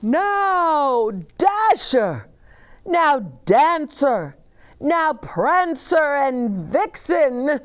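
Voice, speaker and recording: A woman's voice: high-pitched, fairly bright tone, pitched above the performer's own voice, full character voice. Woman playing jolly, emphatic old Santa. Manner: drawn-out, emphatic delivery. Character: kindly old man Santa, jolly and commanding.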